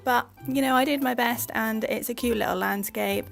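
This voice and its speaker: Woman, art vlog host with a cold, gravelly and croaky, chatty self-deprecating narration, soft music underneath. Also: a little high, normal speed. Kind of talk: casual voice-over narration.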